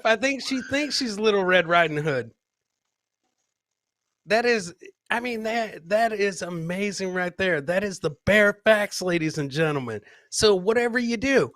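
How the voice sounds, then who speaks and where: A man hosts a casual comedy podcast in a bright, forceful, somewhat high voice. He riffs on clips with boisterous slang and leans on repeated catchphrases. The sound is dry and close to the microphone. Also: no room sound. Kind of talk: casual live commentary on funny clips.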